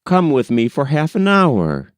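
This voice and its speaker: A man voicing a frank, cheerful young gentleman in a novel, with an animated, bantering manner. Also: a little high, measured pace, no room sound, studio quality, voice pushed up. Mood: friendly, polite.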